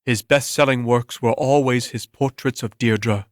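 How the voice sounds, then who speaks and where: A man's voice: medium pitch, fairly even intonation, measured pace, dry recording, clean studio sound. A man reading scripted narration as the storyteller in a dramatic reading.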